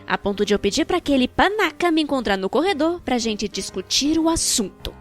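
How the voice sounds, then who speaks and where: A Brazilian woman with a fairly high voice narrates a personal story from a script. Her delivery is expressive and wry, with colloquial phrasing. Music plays behind her voice. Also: a little fast. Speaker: narrator of a fan-dubbed animated storytime video. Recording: background music.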